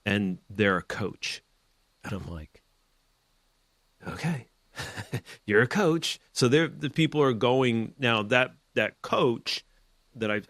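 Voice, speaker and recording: A man's voice: medium pitch, a bit bright, dry recording, studio quality. A man hosting a real estate podcast, giving emphatic, opinionated advice in a rambling, casual way with side notes. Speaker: real estate team leader and podcast host.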